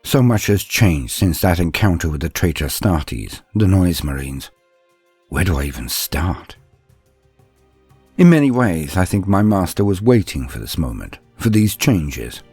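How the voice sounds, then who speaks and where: A man narrating a scripted dramatic story in the first person, measured and pausing mid-sentence. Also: sits low, big pitch swings, a little mellow, slightly rough, no echo, soft background music.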